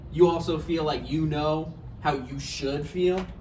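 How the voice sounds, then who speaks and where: A man's voice: fairly high pitch, steady tone, a little slow, close to an everyday voice. A man in a play table read, playing a neurotic, needy failed writer arguing with an ex, hedging conversationally. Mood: needy, awkward. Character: Roger, neurotic needy male failed writer, argumentative ex-boyfriend. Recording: echoey room, distant mic, faint noise.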